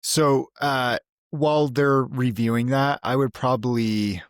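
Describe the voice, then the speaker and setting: A man's voice: mid-range voice, fairly even intonation, unhurried, no room sound, clean studio sound. A man talking casually as a player in a tabletop game, describing what his character does.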